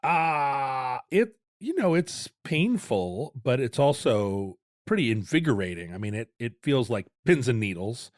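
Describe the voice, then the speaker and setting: A man's voice: mid-range voice, sing-song, a little slow, hardly any pauses, dry recording, clean studio sound. A man co-hosting a podcast in casual chat, joking and rambling, often correcting himself mid-sentence. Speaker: podcast co-host.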